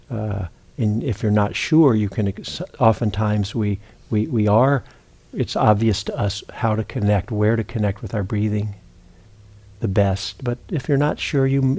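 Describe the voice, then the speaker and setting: A man with a low, very mellow and relaxed voice leads a guided meditation. He speaks as a teacher, gently and without hurry, leaving long pauses between short phrases.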